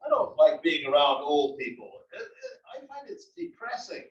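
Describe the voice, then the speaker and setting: A man's voice: on the high side, huge pitch swings, normal speed, a little hiss or hum. A man reading the part of a grumbling, dryly humorous older husband in a comedy play. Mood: glum. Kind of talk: table read of a comedy play. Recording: roomy, distant, dull library recording.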